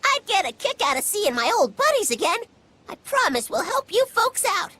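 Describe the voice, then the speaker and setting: A woman voicing a tiny, cheerful, excitable male critter spirit with a squeaky cartoon voice and folksy talk, in an animated game. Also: normal speed, exaggerated voice. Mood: wistful, cheery. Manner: folksy, colloquial, exclamatory. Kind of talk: animated game cutscene acting.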